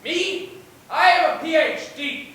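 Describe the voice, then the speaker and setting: Casting: female student actor as a measured, formal scientist professor, high-pitched, heard from a distance on stage. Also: a bit bright, exaggerated voice. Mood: matter-of-fact. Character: confident, formal professor running an experiment. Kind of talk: amateur student stage acting. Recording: echoey stage, distant mic, faint hiss.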